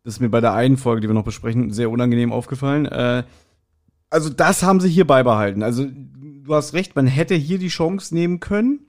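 A man speaks at a middling pitch in a casual podcast discussion. He explains things in a colloquial way, as a co-host would, and often looks for agreement from the other person. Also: a little fast.

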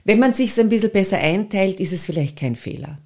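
A woman's voice: mid-range voice, expressive, a little fast. A middle-aged woman with an Austrian accent, answering conversationally as an interview guest. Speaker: podcast guest, actress and singer.

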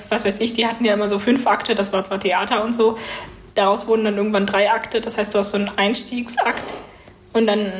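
A woman's voice: medium pitch, a little hiss or hum. A woman in her twenties giving casual, chatty answers as a student being interviewed. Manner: chatty, informal.

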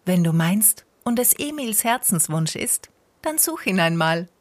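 A woman playing a gentle, patient squirrel mother in a children's audio drama, tender but firm, with a husky voice. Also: mid-range voice, very animated, a little slow. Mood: patient, reasoning.

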